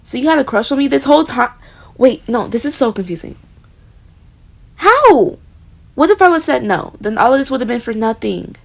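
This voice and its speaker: A jealous young woman roommate, flustered and talking straight to the listener, acted by a woman in a romantic audio roleplay. Her voice sits a bit high and has a gravelly fry at the ends of phrases. She often trails off into soft, near-whispered murmurs. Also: expressive, moderate pace, pauses often. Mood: giddy.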